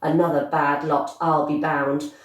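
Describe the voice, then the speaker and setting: A woman's voice: low-pitched, little pitch movement, a little slow. A woman voicing a disapproving old storybook grandmother, muttering and scornful. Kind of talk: storybook character voice. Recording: echoey room, distant microphone.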